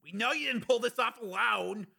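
A man voicing a big, hot-tempered working-class man in an audiobook, raspy and strained, blustering in coarse slang. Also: very high-pitched, normal speed, sharp tone, pitched above the performer's own voice, full character voice. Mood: aggrieved, bitter. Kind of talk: audiobook character acting.

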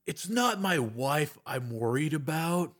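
A man's voice: fairly high pitch, lively intonation, slow pace, a bit bright, pitched above the performer's own voice, exaggerated voice. A man voicing a cautious, reluctant local husband in an audiobook, speaking in a hesitant, cautioning way. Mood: resigned. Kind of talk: audiobook character acting.

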